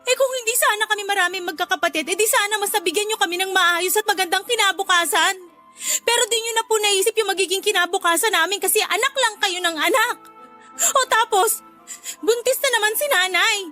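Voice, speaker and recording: A woman's voice: very high-pitched, brisk, bright, forceful tone. A woman acting an exasperated, outspoken daughter in a radio drama, pleading and reproachful yet polite. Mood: weary, upset. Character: exasperated, outspoken school-age daughter, polite but weary. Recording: music behind the voice. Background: music.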